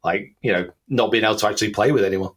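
Low-voiced English man, jokey. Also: fast talker, a bit bright, breathy edge. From England. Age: 30s. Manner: self-deprecating, jokey. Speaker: interview guest, golfer. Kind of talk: casual conversational chat.

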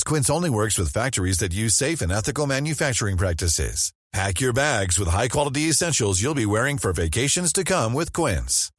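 Husky man reading an ad, lively intonation. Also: medium pitch, no room sound, clean studio sound. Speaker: advertisement reader. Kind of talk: scripted podcast ad read.

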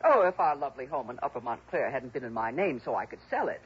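An actress playing an imperious, melodramatic middle-aged wife who is quick to take offence, in a 1960s radio drama. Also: female voice, very deep voice, lively intonation, slightly rough, exaggerated voice. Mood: self-pitying. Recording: dull, lo-fi old radio recording.

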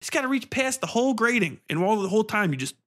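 A man's voice: sits high, lively intonation, fast talker, fairly bright tone. A man hosting a podcast gives a casual, profane film review in a sarcastic, irreverent, rambling way, leaning hard on phrases for emphasis.

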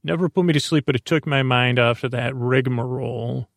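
Gravelly, creaky man rambling along. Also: mid-range voice, no room sound. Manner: halting, digressive, repeats and trails off. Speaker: host of a bedtime sleep podcast. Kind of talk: rambling, meandering monologue.

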